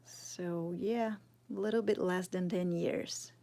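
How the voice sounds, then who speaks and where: Woman with brazilian portuguese accent, unhurried, mutters while sculpting, live tutorial. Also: lowish voice, fairly even intonation, warm tone. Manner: slow, thinking aloud, drops to murmurs while working. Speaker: sculpting teacher streaming live. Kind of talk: casual live tutorial, answering chat.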